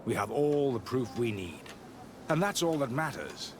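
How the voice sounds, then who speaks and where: An actor playing a guarded, zealous missionary monk and scholar in a video game, speaking in a measured, dismissive way. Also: male voice, mid-range voice, big pitch swings, noisy background, lightly acted. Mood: impatient, firm. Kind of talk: video game cutscene acting.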